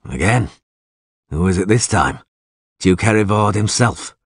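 Male narrator as terse fantasy warrior, dry wit, low and rough-edged, close dry recording. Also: lively intonation, a little slow, no room sound, exaggerated voice. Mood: terse, weary. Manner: terse, understated. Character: calm, dry-humoured male warrior, loyal friend.

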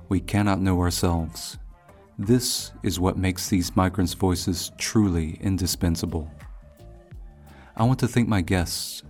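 A man's voice: deep voice, darkish tone. A man hosting a podcast in scripted narration. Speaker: podcast host.

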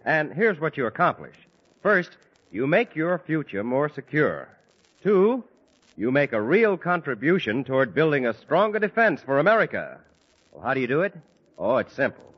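A man's voice: sing-song, normal speed, husky, lo-fi sound. A male radio announcer reading an upbeat ad in 1940s broadcast style, on an old recording. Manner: upbeat, emphatic pitch.

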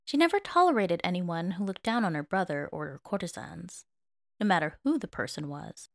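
A woman's voice: fairly low pitch, expressive, normal speed, no echo, clean studio sound. A woman narrating fan fiction as a dramatic audiobook.

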